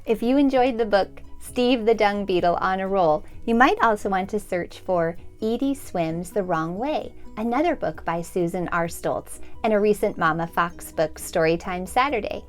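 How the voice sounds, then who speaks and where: A woman's voice: medium pitch, animated, moderate pace, few pauses, soft background music. A woman presenting a read-aloud for children as a storytime host.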